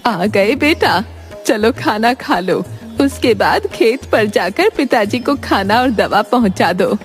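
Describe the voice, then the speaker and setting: A woman's voice: a little high, expressive, takes it slowly, soft background music. A woman voicing a caring, instructing village mother in a children's cartoon story.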